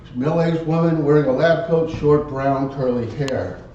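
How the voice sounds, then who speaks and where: A man telling a tall tale live as a contest speech, theatrically, in short halting phrases. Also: dark tone. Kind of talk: live dramatic storytelling speech. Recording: very echoey room, distant muffled mic, rumble.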